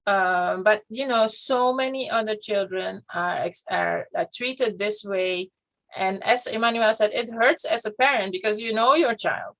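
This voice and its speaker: A woman's voice: mid-range voice, unhurried, vocal fry, fairly bright tone, rough recording. A middle-aged woman, a guest in an interview, telling a personal story in a reflective, hesitant way. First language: Dutch. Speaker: guest education researcher. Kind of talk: interview conversation.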